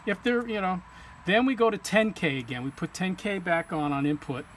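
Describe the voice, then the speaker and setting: A man's voice: high-pitched, normal speed, a bit bright. A man talking through an informal technical tutorial step by step, in a plain-spoken way as he demonstrates. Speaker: technician demonstrating equipment calibration. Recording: clear background hum or ambient noise.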